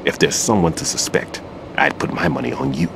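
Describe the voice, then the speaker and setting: An actor playing a gruff, world-weary veteran demolition expert who speaks in a grave, measured, guarded way in a video game. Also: male voice, very deep voice, very animated, noisy background, heavily acted. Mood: calm, wary. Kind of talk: dramatic game voice acting.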